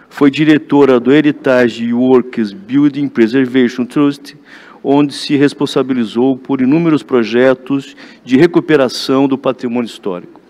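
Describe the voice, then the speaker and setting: A man's voice: mid-range voice, a little mellow. A man with a Brazilian accent formally reading introductions and announcements as a panel moderator in a large auditorium. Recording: echoey conference hall, background noise.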